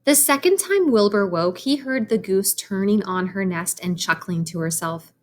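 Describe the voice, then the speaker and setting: A woman reads a children's story aloud in the manner of a teacher. Her delivery is animated and explanatory, and she pauses to talk directly to young listeners. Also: mid-range voice. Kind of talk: expressive storybook read-aloud with asides to children.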